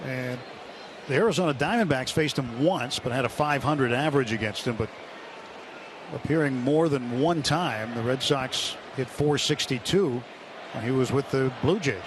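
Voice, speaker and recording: A man giving live baseball commentary and statistics on the radio in a measured, explanatory way. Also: medium pitch. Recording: clear stadium background noise.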